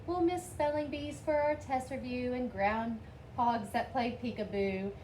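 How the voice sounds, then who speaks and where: A woman's voice: fairly high pitch, fairly even intonation, unhurried, a little mellow, roomy sound, low-quality recording. A woman reading a picture book aloud expressively, lifting her voice for the children's lines. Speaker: storybook reader. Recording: faint background noise.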